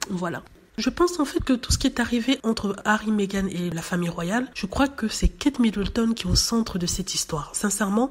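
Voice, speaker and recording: A woman speaks to her viewers in a casual gossip vlog. Her voice is hoarse from a cold. She chats loosely, filling pauses with hesitant fillers. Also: medium pitch, a little mellow. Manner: chatty, many hesitations with "euh". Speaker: celebrity gossip vlogger. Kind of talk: casual chat to camera.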